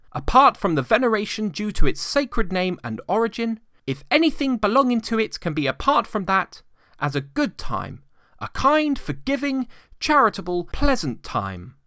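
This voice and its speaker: A man voicing a cheerful, earnest, warm-hearted young gentleman in a storybook reading. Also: high voice, dry recording, studio quality, voice pushed up, full character voice. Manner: bright, eager, heartfelt.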